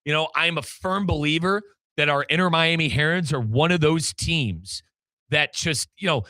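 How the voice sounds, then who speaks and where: A bright, punchy American man hosts a soccer talk show. His voice sits a little high and sounds very dry. He speaks emphatically and colloquially, leaning on repetition. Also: moderate pace, no echo. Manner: emphatic, repeats phrases for effect, colloquial. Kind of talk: sports talk commentary and interview.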